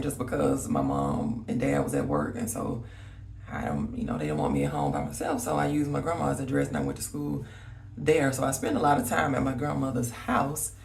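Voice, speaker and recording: Gravelly-voiced Southern woman chatting. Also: extremely low pitch, recorded from a distance. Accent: Southern US (Alabama). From Alabama, US South. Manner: chatty, rambling, talks straight to viewers. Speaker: vlogger. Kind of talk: casual reminiscing chat to viewers. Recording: roomy echo, voice slightly off-mic.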